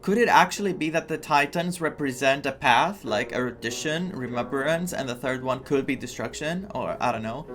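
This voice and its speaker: A man from Greece comments casually as he plays a video game. His voice is medium in pitch, excitable and full of thinking aloud. Soft music sits in the background. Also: moderate pace, few pauses. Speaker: gaming streamer. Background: faint game music.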